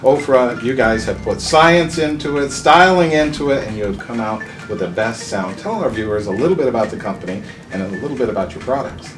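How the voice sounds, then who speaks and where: A man's voice: medium pitch, animated, brisk, hardly any pauses. A man hosting an upbeat promotional TV interview, addressing guests and viewers in a presenter's style. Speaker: TV show host interviewing guests. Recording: slightly distant mic, music behind. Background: background music.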